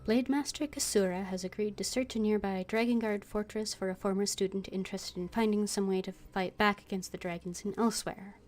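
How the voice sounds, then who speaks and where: A woman's voice: mid-range voice, steady tone, a little fast, few pauses, a little mellow. A woman streaming a video game, reading game text aloud and voicing her thoughts as she plays. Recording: game music behind the voice. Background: video game music.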